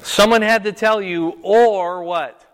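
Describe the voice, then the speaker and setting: An older man with a loud, fairly high voice leads a Bible class, firing questions at his group and repeating them until someone answers. He speaks very slowly and deliberately. The sound carries a long reverb from the room. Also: fairly even intonation. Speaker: Bible study teacher. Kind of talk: interactive Bible class, quizzing listeners.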